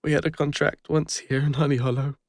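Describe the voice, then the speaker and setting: A man with an English accent playing a gentle, bashful lone ranger and former healer in an intimate audio roleplay, speaking hesitantly. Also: a little high, little pitch movement, dry recording, studio quality, natural voice. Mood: vulnerable, regretful. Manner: hesitant, soft-hearted, halting. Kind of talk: intimate scripted audio roleplay addressed to the listener.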